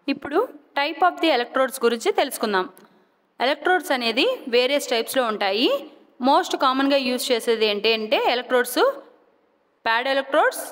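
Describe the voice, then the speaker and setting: A woman with a Telugu Indian accent giving a scripted educational lecture as an instructor, deliberate and point by point, mixing in English technical terms. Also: sits high, a bit bright. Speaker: physiotherapy instructor. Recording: long hall-like reverb.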